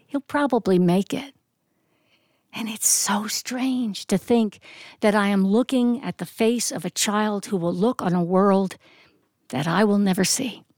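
A female storyteller delivers a polished live narrative. Her phrasing is deliberate and descriptive, with expressive emphasis and dramatic pauses. The sound is dry, with very little room echo. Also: mid-range voice.

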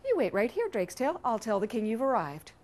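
Woman as a soft-spoken, polite castle maid. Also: a little high, sing-song, fairly quick, a little hiss or hum. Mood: courteous. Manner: polite, quiet, formal.

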